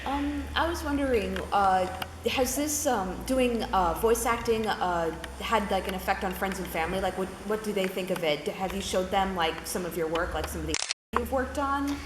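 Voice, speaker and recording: A woman in the audience asking a casual question in a halting, tentative way. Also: mid-range voice. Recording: echoey hall, loud background noise.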